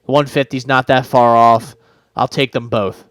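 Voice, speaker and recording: A man's voice: medium pitch, flat delivery, unhurried, fairly bright tone, no room sound. A man co-hosting a podcast, giving casual betting picks and rambling with lots of fillers and restarts. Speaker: podcast co-host. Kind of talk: casual sports betting chat.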